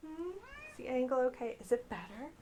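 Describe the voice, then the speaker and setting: A soft-spoken woman chatting casually in an ASMR style, often dropping into whispers, playful and doting toward the listener. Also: sits high, huge pitch swings, normal speed, a little hiss or hum. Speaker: ASMR creator talking to viewers. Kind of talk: casual rambling ASMR chat.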